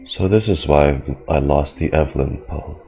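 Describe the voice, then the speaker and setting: A man's voice: extremely low pitch, moderate pace, gravelly. A man doing casual live game reaction commentary as a streamer, muttering and swearing informally. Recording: faint game music behind.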